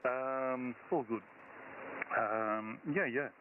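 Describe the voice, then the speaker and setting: A man's voice: mid-range voice, fairly even intonation, drawn-out words, distant mic. A man chatting casually on a ham radio net, rambling with lots of fillers and restarts. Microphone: heard over shortwave radio. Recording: tinny radio with faint static.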